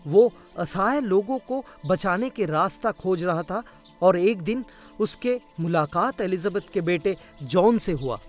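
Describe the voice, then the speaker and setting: High-pitched, lively male story narrator. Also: unhurried. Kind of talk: scripted story narration for a cartoon. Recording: loud background music.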